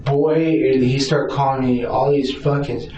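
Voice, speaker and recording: A man's voice: medium pitch, little pitch movement, normal speed, dark tone. A man answering questions in a police interrogation as a suspect, rambling and repeating himself with stammered restarts, agitated and defensive. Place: indoor police interview room. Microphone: distant room recording microphone. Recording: distant, echoey, muffled noisy interrogation recording.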